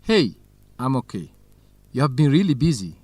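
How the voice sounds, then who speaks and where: A man's voice: medium pitch, very animated, normal speed, darkish tone, no room sound. A man playing an earnest, lovesick young male student in an educational radio drama. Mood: earnest, resigned.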